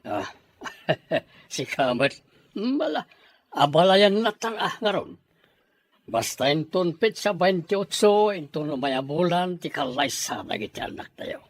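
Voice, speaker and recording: A man acting a bossy middle-aged father in an audio drama, with a husky voice. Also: on the high side, big pitch swings, a little slow, no echo, full character voice. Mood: eager. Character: bossy middle-aged parent arranging a child's marriage.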